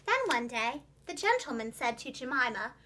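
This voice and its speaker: Female children's storyteller: high, bright voice, expressive and clearly enunciated, scripted storybook narration. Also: normal speed. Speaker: preschool teacher and children's storyteller.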